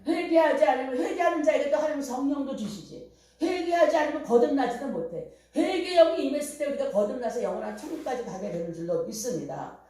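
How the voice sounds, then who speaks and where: A woman's voice: high-pitched, animated, fast, mellow. Woman pastor preaching in an echoey hall. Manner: preaching with storytelling, fervent calls to repent. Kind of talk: revival sermon. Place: church hall. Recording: very echoey hall, far from the mic.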